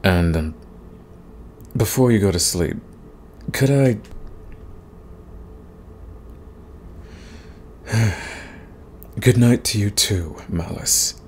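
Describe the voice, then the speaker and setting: A man playing a big, grumpy but soft himbo demon in a romantic comedy audio roleplay, husky and stammering in protest. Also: lowish voice, lively intonation, slow, pauses often, a little hiss or hum. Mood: grumpy, tender. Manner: grumbling, sighing, stammering protests. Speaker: voice actor.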